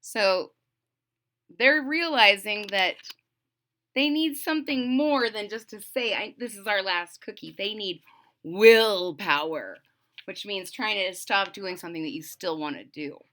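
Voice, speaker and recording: A woman's voice: medium pitch, animated, slow pace, a bit bright, a little hiss or hum. A woman reading a children's story aloud, expressively, with simple explanatory asides for young listeners.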